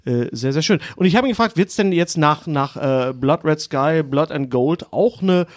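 A man's voice: on the high side, lively intonation, moderate pace, dry recording, clean studio sound. A man hosting a film podcast, talking in a chatty, casual way and tending to jump in and repeat his phrases.

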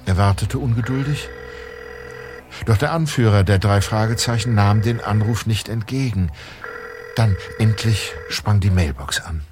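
A man reading scripted narration for an audio drama in a measured, suspenseful storytelling manner. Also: fairly low pitch, over music.

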